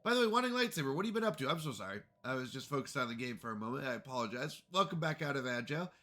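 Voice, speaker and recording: A man's voice: medium pitch, big pitch swings, racing, sharp tone. A man with a congested voice chatting casually to viewers while playing a strategy game on a live stream. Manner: chatty, greets chat viewers.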